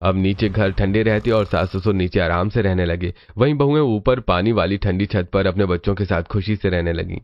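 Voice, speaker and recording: A man's voice: low voice, normal speed, few pauses, no echo, dull audio. A man narrating a scripted cartoon story as its storyteller.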